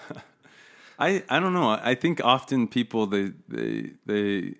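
Deep, creaky-voiced man being interviewed. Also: huge pitch swings, a little slow, a bit bright. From grew up overseas, in Russia, China, Taiwan and Uzbekistan. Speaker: podcast guest. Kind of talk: casual interview, telling own story.

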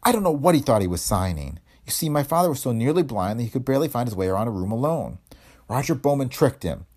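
A man's voice: mid-range voice, big pitch swings, quick pace, a little mellow, breathy edge, voice pushed up. A man voicing an earnest, defensive young woman. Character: young woman, indignant, loyal, defensive daughter. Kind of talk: hardboiled detective audiobook dialogue.

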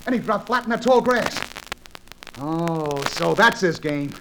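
A tough adventure hero of vintage radio. His voice sits high for a man, clipped, urgent and commanding. The recording is old and noisy. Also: animated, measured pace. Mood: determined. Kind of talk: 1940s-50s radio adventure serial acting.

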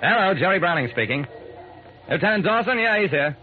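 A man's voice: high voice, animated, a little fast, phone-quality sound, over music. A man acting as an earnest, kindly amateur sleuth who narrates his own story in an old radio drama. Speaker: narrator and lead actor. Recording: noisy, band-limited old radio broadcast.

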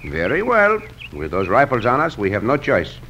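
An actor playing a gallant, confident Mexican cowboy hero with a Mexican accent and formal, courteous diction in an old-time radio western. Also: male voice, medium pitch, wildly swooping pitch, moderate pace, pushed and bright, dull audio, full character voice. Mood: firm, courteous. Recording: noisy old radio drama recording.

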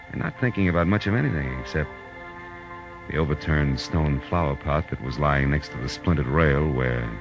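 Casting: hard-boiled 1940s radio private eye, extremely low voice, wry, laconic, dull vintage recording with music. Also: male voice. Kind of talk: 1940s radio detective drama acting and narration.

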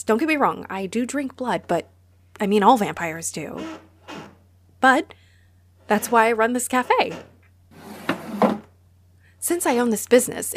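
A woman's voice: medium pitch, close to an everyday voice. A woman playing a friendly, easily flustered vampire who runs a cozy cafe, chatty and apologetic, in a roleplay audio drama spoken to the listener. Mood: proud, playful.

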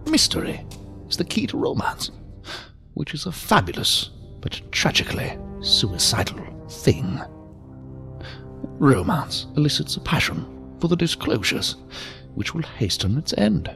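A mysterious old gentleman for an audio drama. The voice is gravelly, sometimes breathy, and swoops wildly up and down. He speaks with ornate, deferential courtesy and a philosophical bent, with music underneath. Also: a little high, huge pitch swings, measured pace, pauses often, full character voice. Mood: coy, mysterious. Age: older. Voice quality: creaky, gravelly, breathy at times. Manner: formal, ornate, deferential, theatrically sing-song. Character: odd, courteous, philosophical old man lurking in an alley.

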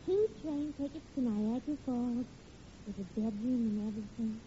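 Woman acting a high-pitched gushing young bride-to-be. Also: normal speed, dark tone, close to an everyday voice. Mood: excited. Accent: American. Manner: coy, cooing, insistent. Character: eager, gushing young bride-to-be, coy and doting. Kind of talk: 1940s radio comedy acting. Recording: muffled, noisy old radio recording.

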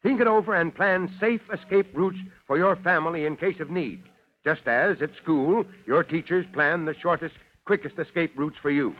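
A man playing an authoritative fire chief giving a crisp, official address to children on old-time radio. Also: on the high side, normal speed, close to an everyday voice. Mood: official, instructive. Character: authoritative fire chief instructing young listeners. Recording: dull vintage radio recording.

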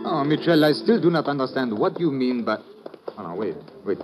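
An actor playing a formal, excitable French police inspector with a French accent, speaking precisely and theatrically in radio drama style. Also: male voice, mid-range voice, brisk, dull audio, over music, close to an everyday voice. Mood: puzzled. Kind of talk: 1950s radio drama acting. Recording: noisy old radio recording.

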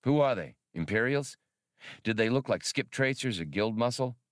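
A man's voice: medium pitch, lively intonation, normal speed, dry recording, studio quality, natural voice. A man doing a brash, brusque space pilot. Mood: wary. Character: brash male human starship pilot.

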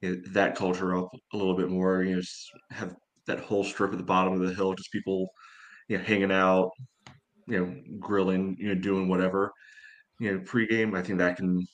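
A man co-hosting a casual sports podcast, speaking in a measured, hedging way with many filler phrases. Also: deep voice, little pitch movement. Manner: measured, hedging, peppered with "you know".

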